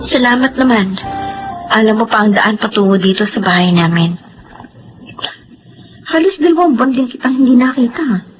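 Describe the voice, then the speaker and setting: A woman's voice: fairly high pitch, expressive, moderate pace, long pauses, over music, lightly acted, poor audio. A woman playing a grieving, bitter young woman in a radio drama. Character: grieving young Filipino woman, anguished, bitter, self-blaming.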